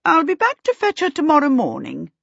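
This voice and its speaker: A woman's voice: sits high, wildly swooping pitch, a little fast, vocal fry, fairly bright tone, dry recording, lo-fi sound, voice pushed up, full character voice. A woman voicing a bossy, rich older aunt who gives commands in an audiobook. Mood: imperious. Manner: commanding, decreeing. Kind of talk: audiobook character voice.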